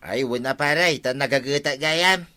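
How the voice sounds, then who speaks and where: Actor as exasperated Ilocano man with bright, forceful voice. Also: medium pitch, normal speed, clear, resonant tone, lightly acted. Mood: grumbling. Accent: Filipino, Ilocano. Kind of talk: Ilocano radio comedy drama acting.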